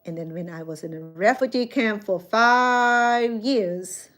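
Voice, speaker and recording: A woman's voice: medium pitch, slow, fairly bright tone. Older woman, a chef streaming live to her viewers from a phone; chatty and rambling, often restarting her sentences. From Cambodia. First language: Khmer. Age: 60s. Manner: chatty, rambling, frequent self-corrections.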